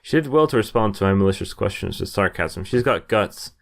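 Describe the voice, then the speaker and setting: A man's voice: on the low side, animated, fairly quick, creaky. A young man doing a gaming playthrough, reading text aloud and reacting casually into a close mic. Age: young adult. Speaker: let's player. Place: indoors at a computer, webcam view. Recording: game music behind the voice. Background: game music.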